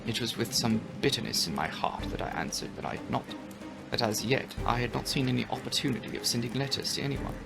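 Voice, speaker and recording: A man reads a classic novel aloud as a dramatic audiobook narrator. His delivery is measured but very varied in intonation, with some vocal fry, over background music. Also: mid-range voice, lively intonation.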